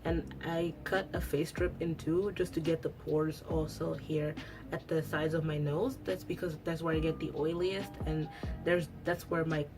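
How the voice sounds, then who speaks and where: A woman's voice: sits low, fairly even intonation, normal speed, over music. A young woman whose first language is Italian, chatting casually to camera at home, rambling with lots of restarts. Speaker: beauty vlogger. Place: at home after a shower.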